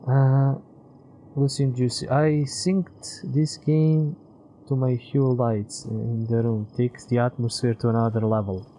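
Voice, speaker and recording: A man's voice: mid-range voice, little pitch movement, unhurried, dark tone, a little hiss or hum, poor audio. A man doing casual live game commentary as a streamer, chatting with viewers and rambling as he thinks aloud.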